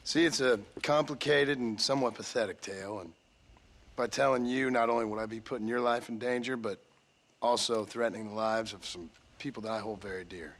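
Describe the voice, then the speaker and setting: An actor playing a reserved, weathered Old West gunslinger in a video game, with an American accent and a dry, formally polite manner. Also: male voice, fairly low pitch, steady tone, a little fast, a bit bright. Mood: guarded. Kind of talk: video game cutscene acting.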